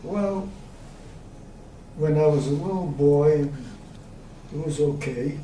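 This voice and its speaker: A man, recalling his life in an oral history interview, slow and hesitant with many pauses. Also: on the high side, mellow. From Austria. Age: 90s. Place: room at a community center. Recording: echoey room, distant mic, faint hiss.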